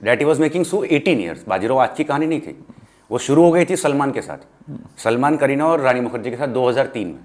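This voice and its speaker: A man's voice: mid-range voice, animated, moderate pace, darkish tone. An Indian man in a casual film discussion, speaking emphatically and giving firm opinions, mixing English phrases into his Hindi.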